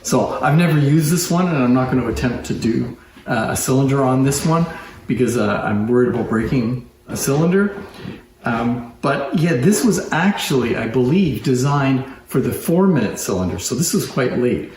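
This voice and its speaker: A man's voice: medium pitch, animated, normal speed, darkish tone. A man giving an informal hands-on demonstration as a presenter, explaining things as he goes. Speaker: presenter showing antique phonograph machines. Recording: roomy echo, clear background noise.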